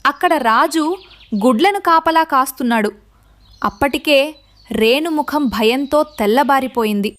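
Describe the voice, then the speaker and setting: High-pitched woman narrating a children's story. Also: normal speed, a bit bright.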